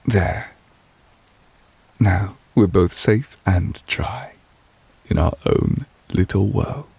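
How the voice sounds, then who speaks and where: A man acts out a soft-spoken male witch, deeply in love and quietly possessive. His voice is hushed and slow, with a gravelly fry at its edges. He speaks with gentle, formal refinement, very close and dry, as in a romantic ASMR audio roleplay. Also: no room sound, exaggerated voice. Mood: coaxing, possessive. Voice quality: breathy, hushed. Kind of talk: ASMR romantic roleplay, intimate character acting.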